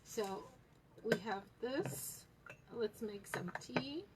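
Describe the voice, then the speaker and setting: A woman with a Polish accent hosting a live tutorial on tea leaf reading, talking slowly with many fillers and pauses to viewers. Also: fairly high pitch, lively intonation, heavy background noise. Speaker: tea shop host teaching tea leaf reading.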